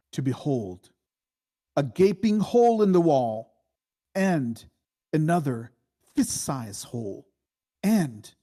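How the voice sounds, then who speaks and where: A man reading screenplay stage directions as dramatic narration, in a deliberate, suspenseful way. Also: medium pitch, warm tone.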